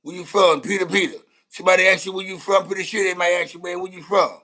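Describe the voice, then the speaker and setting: Blunt, slangy American man, slightly high-pitched. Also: fairly even intonation, fast talker, pushed and bright. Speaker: content creator reacting to news clips. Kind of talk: casual livestream commentary on street news.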